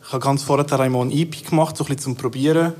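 Brief: Swiss German male guest, Italian native, mid-pitched, easygoing. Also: fairly even intonation, rapid-fire, darkish tone. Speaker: rapper, interview guest. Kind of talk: casual interview chat.

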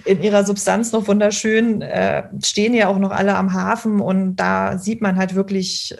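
Gravelly-voiced woman chatting freely. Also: mid-range voice, little pitch movement, moderate pace. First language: German. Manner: chatty, many fillers and restarts. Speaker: interview guest. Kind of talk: casual conversational interview.

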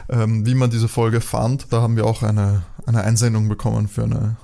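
Creaky-voiced man bantering playfully. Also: fairly low pitch, monotone, normal speed, mellow. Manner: ironic, self-deprecating, playful. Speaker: podcast co-host. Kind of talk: casual banter.

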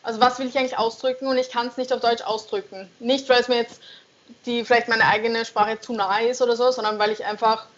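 A woman's voice: fairly high pitch, flat delivery, quick pace, slight background noise. A young woman songwriter answering interview questions casually, hesitant and searching for words, with frequent laughs. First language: German. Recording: dull lo-fi sound.

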